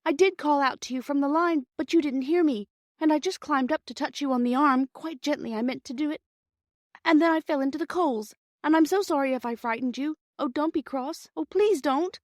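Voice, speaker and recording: Woman acting a high-pitched, pleading little girl. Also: fairly quick, no room sound, clean studio sound, close to an everyday voice. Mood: tearful pleading. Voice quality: soft, small voice. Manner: pleading, sniffing. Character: frightened, apologetic, tearful young girl.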